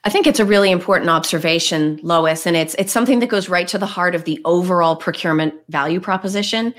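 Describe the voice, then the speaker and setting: A woman's voice: lowish voice, quick pace, fairly bright tone. A woman panelist speaking conversationally, often checking in with tag questions. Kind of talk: webinar panel discussion.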